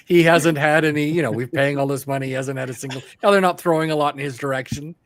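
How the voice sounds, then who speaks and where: A man's voice: a little high, steady tone, quick pace, dry recording. A man co-hosting a sports podcast with casual, wry, joking banter. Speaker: podcast co-host.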